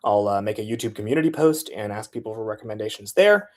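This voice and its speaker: A man's voice: fairly low pitch, fast talker. A man giving a casual how-to talk about making transit videos, speaking loosely with many filler sounds.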